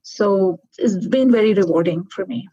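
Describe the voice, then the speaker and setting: Woman from Pakistan, middle-aged, mellow and husky, earnest and reflective, dull lo-fi sound. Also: mid-range voice, no room sound. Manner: earnest, reflective, with pauses and restarts. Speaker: guest physician. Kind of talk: interview answers.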